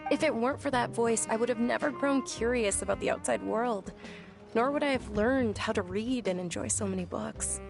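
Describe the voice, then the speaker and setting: A woman voicing a gentle, earnest young woman who speaks in a soft, reflective way in a video game. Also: a little high, moderate pace, gravelly, music in the background. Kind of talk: game voice acting.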